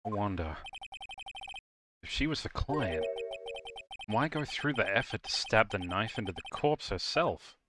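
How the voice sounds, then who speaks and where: A man voicing an earnest, thoughtful young defense attorney in a deliberate, theatrical read of video game dialogue. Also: lowish voice, animated, a bit bright, slight background noise. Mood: earnest, pondering. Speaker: streamer dubbing a video game live.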